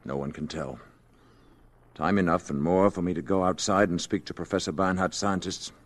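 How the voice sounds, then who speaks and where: A man acting a calm, solemn alien emissary in human form in an old radio drama, speaking formally and with measured words. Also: low-pitched. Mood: calm, subdued. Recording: dull vintage radio recording, hall reverb.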